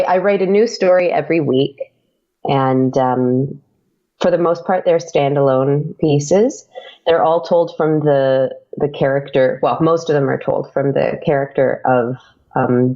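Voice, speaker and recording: A woman's voice: rumbling low, muffled recording. A woman speaking casually as an interview guest, thinking aloud in a hesitant way. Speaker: interview guest, writer and podcaster.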